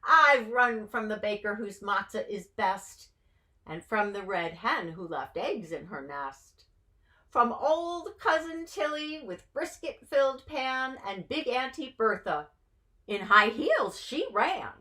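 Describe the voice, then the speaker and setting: A woman acts out a cocky little matzah man from a children's storybook. He boasts in proud singsong rhymes, high and bright, with lively swoops. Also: slow, full character voice. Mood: boastful, gleeful. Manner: proud, singsong rhyming taunts.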